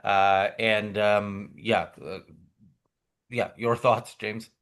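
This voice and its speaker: A man hosting a political podcast, talking in a halting way with many fillers and restarts over a video call. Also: lowish voice, fairly even intonation, a bit bright. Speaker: podcast host. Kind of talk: political commentary chat.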